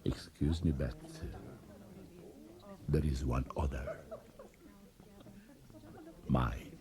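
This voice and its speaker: Very deep, weary old man. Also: crowd noise, full character voice. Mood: quiet, insistent. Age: older. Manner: halting, pleading, with sudden outbursts. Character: shabby old down-on-his-luck man, proud, weary former tattooist. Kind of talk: radio drama acting.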